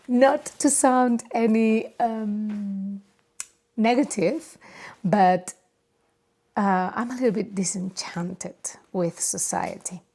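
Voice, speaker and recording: A woman with a Spanish accent speaks in a mid-range voice at a very unhurried pace. She is a non-native speaker hosting an unscripted, personal podcast. Her delivery is halting, with searches for the right word. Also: medium pitch, warm tone. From Barcelona area, Spain. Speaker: podcast host. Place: home or private studio.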